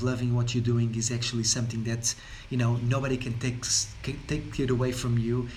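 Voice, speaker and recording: Portuguese-accented male speaker, non-native English, loose wandering delivery full of fillers and restarts, faint noise behind. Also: medium pitch. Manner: rambling, many fillers and repeated words. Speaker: wellness speaker. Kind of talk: unscripted talk.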